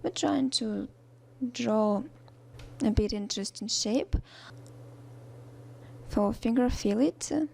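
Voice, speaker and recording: A young woman, a native Russian speaker with a Russian accent, narrates a drawing tutorial in halting, soft-spoken English with many fillers and restarts. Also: a little high, vocal fry, husky.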